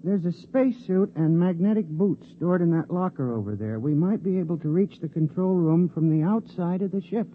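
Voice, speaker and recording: An actor playing a timid, prissy bookkeeper in a 1950s radio drama, speaking hesitantly with small stammers. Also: male voice, high voice, lively intonation, moderate pace, few pauses, slight background noise. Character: timid, prissy male bookkeeper, mousy and furtive. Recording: tinny old radio broadcast.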